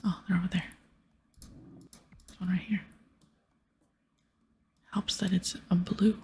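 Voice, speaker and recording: A woman whispering in a breathy ASMR style as she casually plays through a game, chatting softly and reading dialogue aloud. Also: fairly low pitch, level tone, takes it slowly. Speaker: host of an ASMR gaming series.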